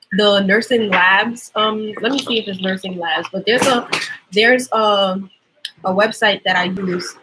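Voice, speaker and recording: A woman's voice: medium pitch, bright, forceful tone, a little hiss or hum, low-quality recording. A young woman with a Trinidadian accent, chatting casually on a livestream as a nursing student, explaining things with lots of fillers.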